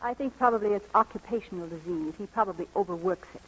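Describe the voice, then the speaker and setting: Brisk, husky no-nonsense woman doctor. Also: mid-range voice, fairly bright tone. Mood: dryly clinical. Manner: crisp, firm, clinical. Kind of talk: 1940s radio romantic comedy acting. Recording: dull lo-fi old radio recording.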